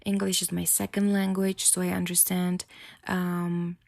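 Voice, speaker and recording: A woman's voice: fairly low pitch, little pitch movement, measured pace, gravelly, darkish tone. A woman in her thirties with a Czech accent hosting her own fan podcast in chatty, enthusiastic solo talk. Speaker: podcast host.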